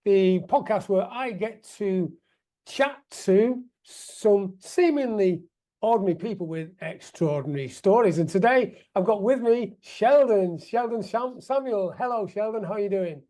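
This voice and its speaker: A man's voice: sits high, measured pace, warm tone. A man hosting a veterans' podcast, enthusiastic and upbeat, with fillers and self-corrections as he talks. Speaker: podcast host.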